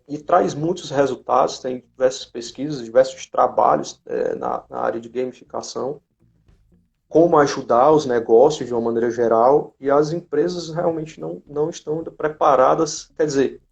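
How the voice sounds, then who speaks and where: A Brazilian man speaks in a slightly high voice with a gravelly fry at the edges. He chats casually on a live stream, restarting and repeating as he explains, and the audio is dull and lo-fi. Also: darkish tone. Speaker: company representative co-hosting a live stream. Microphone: live video call.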